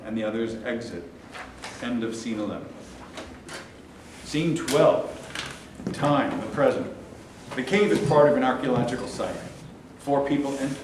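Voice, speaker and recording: A man reading scripted stage directions as narrator at a staged play reading. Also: mid-range voice. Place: echoey room at a staged reading. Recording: very echoey room, distant mic, clear noise.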